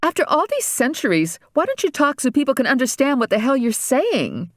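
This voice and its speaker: A woman putting on a shrill, whining teenage girl. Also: huge pitch swings, brisk, no room sound, studio quality. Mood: scornful. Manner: shrill, complaining, melodramatic. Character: bratty whining teenage governor's daughter.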